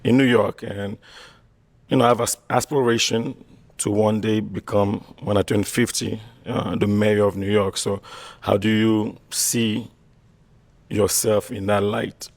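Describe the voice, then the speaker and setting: A man's voice: lowish voice, level tone, measured pace, no room sound. A young man from Chad with a French accent, a dating show contestant who talks hesitantly and restarts his sentences. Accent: Chadian French. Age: young adult. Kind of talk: casual chat.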